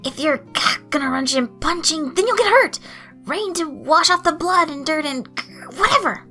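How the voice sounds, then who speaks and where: Woman putting on a high-pitched, excitable boy. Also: moderate pace, full character voice. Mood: earnest, hesitant. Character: jittery, teasing, giggly boy, sweetly earnest. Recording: soft music behind the voice.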